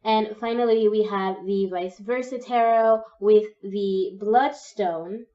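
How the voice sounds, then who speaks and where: A young woman with a cold gives a gentle, encouraging tarot reading, her voice slightly croaky. Her tone is soft and mellow. The audio sounds dull and a little distant, as if she sits back from the mic. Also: medium pitch, fairly even intonation, takes it slowly. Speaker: tarot reader. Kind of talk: pick-a-card tarot reading.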